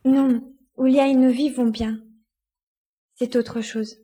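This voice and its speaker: A woman plays an anxious young girl in an audio drama. Her voice is high, mellow and slightly husky. She sounds concerned and full of questions. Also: steady tone, slow, exaggerated voice. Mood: puzzled.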